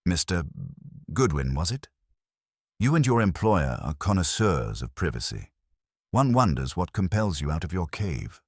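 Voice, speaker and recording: A man voicing a frosty, haughty high-society wife in an audiobook, speaking in a cutting, formal manner. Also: sing-song, unhurried, husky, dry recording, clean studio sound, exaggerated voice. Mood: icy disdain.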